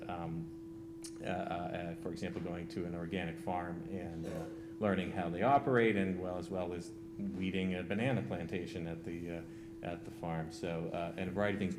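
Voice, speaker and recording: A man giving an informal slide presentation as a trip leader, speaking haltingly and searching for his words. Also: low voice. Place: public school committee meeting. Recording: hum and loud noise behind voice.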